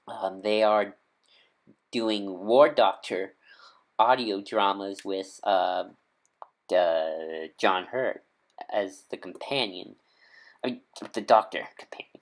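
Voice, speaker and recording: A man's voice: fairly low pitch, takes it slowly, long pauses. A man talking casually as a video host, an enthusiastic fan who rambles with lots of ums and corrects himself mid-sentence.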